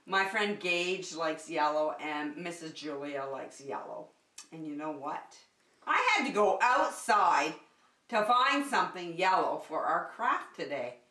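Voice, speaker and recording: Casting: middle-aged female preschool host, highly expressive pitch, simple encouraging delivery, recorded away from the mic in an echoey room. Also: measured pace. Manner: animated, sing-song, encouraging, simple phrasing for small children. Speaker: preschool circle time teacher and host. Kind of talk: children's lesson with craft demonstration. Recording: echoey room, distant microphone.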